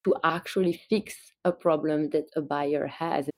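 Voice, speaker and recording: A woman's voice: low-pitched, fairly even intonation, unhurried, dry recording. A woman from Belgium with a Belgian accent, a brand founder telling her story as a guest in a podcast interview, chatty with small restarts.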